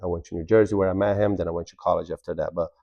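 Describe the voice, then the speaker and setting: A man's voice: deep voice, fairly even intonation, racing, gravelly, no echo, lo-fi sound. A man in his thirties from Brazil, a native Portuguese speaker, answering interview questions politely and steadily. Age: 30s. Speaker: guest.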